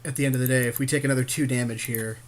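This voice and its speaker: A man's voice: medium pitch, steady tone, racing, a little hiss or hum. A man chatting casually as he commentates a card game with a co-host, jokey and agreeable.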